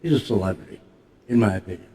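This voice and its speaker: A man's voice: lowish voice, expressive, fast, mellow, a little hiss or hum. An older man co-hosting a podcast, speaking casually and bluntly with firm opinions. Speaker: podcast co-host. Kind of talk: casual chat.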